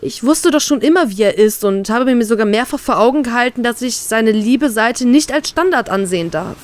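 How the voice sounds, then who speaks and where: A woman's voice: fairly high pitch, expressive, fairly quick. A woman reading a first-person fan fiction story aloud, voicing a heartbroken inner monologue.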